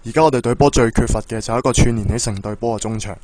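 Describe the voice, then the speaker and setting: A man's voice: mid-range voice, a little mellow, lightly acted. Man playing an earnest Cantonese school football coach. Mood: persuasive. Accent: Hong Kong Cantonese. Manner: persuasive, measured, encouraging. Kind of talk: Hobby radio drama acting. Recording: music bed under the dialogue.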